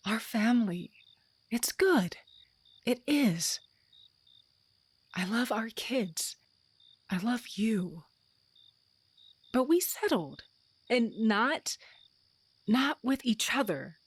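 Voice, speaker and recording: Earnest wife and mother acted by a woman, audio drama, higher-pitched voice, unhurried, heartfelt, longing for more. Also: husky, dry recording, exaggerated voice. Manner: heartfelt, pleading, deliberate.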